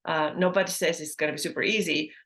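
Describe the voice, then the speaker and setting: A woman's voice: low-pitched, fairly even intonation, quick pace, fairly bright tone. A woman in her thirties, a native Estonian speaker, hosting a casual solo podcast in English, chatty and full of fillers and self-corrections. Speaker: podcast host.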